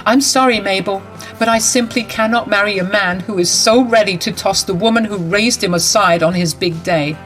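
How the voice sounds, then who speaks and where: A woman plays a protective young bride with a raised, lively voice, brisk and firm and quick to indignation. Faint music runs underneath. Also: mid-range voice, close to an everyday voice.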